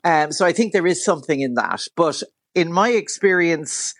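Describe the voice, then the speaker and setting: A middle-aged Irish woman with a Dublin accent and a big, loud voice talks as a radio guest. She speaks in a forthright, chatty way and laughs easily, and her voice comes through dry and close with no room echo. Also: lowish voice, lively intonation, moderate pace. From Ireland. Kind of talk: casual radio chat.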